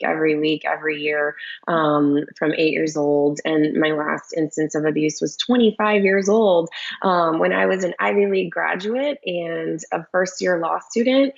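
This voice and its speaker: A woman in her thirties from Michigan speaks as a podcast guest, low-pitched with a gravelly edge. She is earnest and often restarts her sentences. The recording sounds dull and lo-fi. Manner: earnest, frequent restarts and fillers. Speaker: performance coach, guest. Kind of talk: candid interview talk.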